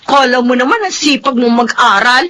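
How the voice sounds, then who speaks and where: A sulky, truant teenage girl in a Filipino radio drama. The voice is very high, recorded with faint noise and clicks. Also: lively intonation, normal speed, sharp tone.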